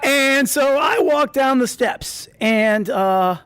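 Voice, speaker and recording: A man's voice: very high-pitched, slow pace, a bit bright, clean tone. A man telling a comedic personal story to an audience, rambling and interrupting himself with asides.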